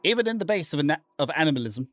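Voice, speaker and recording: A man's voice: a little high, sing-song, a bit bright, soft background music. A man voicing an anguished, brooding man who fled into the wilderness, read dramatically from a horror visual novel. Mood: grave. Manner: dramatic, literary, deliberate.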